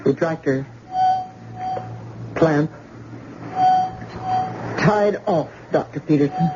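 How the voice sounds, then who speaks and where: An actor playing a terse, clinical surgical assistant in an old-time radio drama. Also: male voice, fairly high pitch, drawn-out words. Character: male surgical assistant in the operating room. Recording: noisy, muffled old radio recording.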